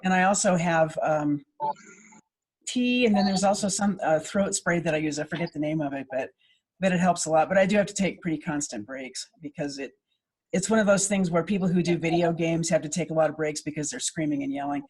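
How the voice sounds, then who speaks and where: A woman's voice: low voice, brisk, darkish tone, husky, low-quality recording. A woman, a voice actor, explaining her craft conversationally in a casual panel chat. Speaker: volunteer audio drama voice actor.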